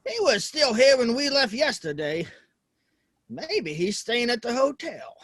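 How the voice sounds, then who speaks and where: A man's voice: very high voice, very animated, unhurried, a bit bright, no room sound, studio quality, exaggerated voice. A man acting an earnest, plain-spoken American cowboy in a Western audio play, shy but willing to stand up for himself, with folksy, hesitant talk. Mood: friendly.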